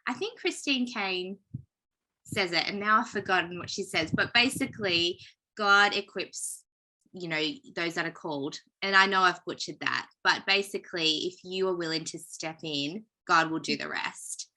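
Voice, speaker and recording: A woman hosting a podcast, reflective and warm as she asks questions in a casual interview. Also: fairly low pitch, moderate pace, low-quality recording. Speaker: podcast host.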